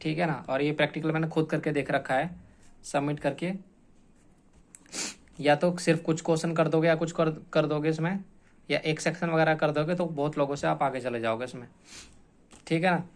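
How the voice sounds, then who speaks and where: A man's voice: a little high, fairly even intonation, moderate pace, long pauses. A man explaining like an exam coach, informal and repetitive, stressing points and often adding check-in tag phrases. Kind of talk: informal explanatory lecture to students.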